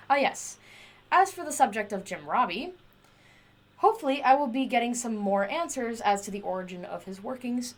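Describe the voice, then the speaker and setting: A woman's voice: medium pitch, close to an everyday voice. A woman playing an eccentric, obsessive inventor in an audio drama, proud and volatile, speaking in formal, clinical research notes. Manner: formal clinical diction breaking into pleading outbursts. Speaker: voice actor.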